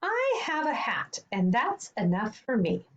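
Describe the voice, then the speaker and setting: A woman voicing a tiny, excitable old woman in a storybook, with an exclaiming manner. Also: measured pace, lo-fi sound. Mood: gracious, firm. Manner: exclaiming, high-pitched cries. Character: tiny old woman, easily startled yet firm.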